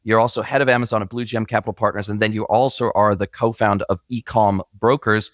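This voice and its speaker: A man's voice: lowish voice, fairly even intonation, quick pace, no echo, studio quality. A man in his forties with a mixed international accent, hosting a podcast interview in an effusive, enthusiastic way. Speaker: podcast host.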